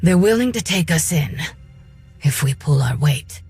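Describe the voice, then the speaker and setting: A woman's voice: sits low, expressive, measured pace, vocal fry, a little mellow, slightly rough, soft background music, exaggerated voice. A woman playing a determined, blunt young rebel fighting for her home, urgent and no-nonsense, in a video game cutscene. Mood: earnest, defiant.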